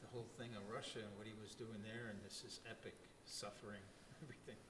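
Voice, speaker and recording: A man co-hosting a science discussion, chatting in a relaxed, wry way. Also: lowish voice, fast talker, big-room sound. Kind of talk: casual conversation. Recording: distant mic with audience murmur.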